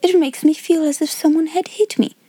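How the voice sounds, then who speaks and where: Lady doing a sweet motherly schoolgirl in a storybook, high voice, steady n earnest. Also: a little fast, dry recording, lightly acted. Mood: confiding. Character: kind, self-controlled, motherly young schoolgirl. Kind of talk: storybook character voice in audiobook.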